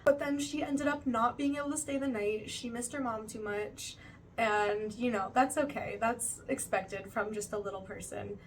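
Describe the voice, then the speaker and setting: A young woman talks through her month in a relaxed, rambling way, leaning on "like" and "you know". Her voice sits a little high and sounds a touch stuffy. The mic is some distance away, with a faint hiss of background noise behind her. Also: normal speed. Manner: chatty, uses many fillers like "like" and "you know". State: slightly stuffy nose, still recovering. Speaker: vlogger. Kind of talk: casual monthly recap vlog.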